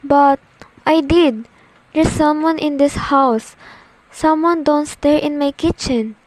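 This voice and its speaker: A woman's voice: high voice, a little mellow, dry recording, rough recording. A young woman acting a nervous, demanding bedridden woman alone at home in an amateur radio play. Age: teenager. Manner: imperious, nervous, halting delivery.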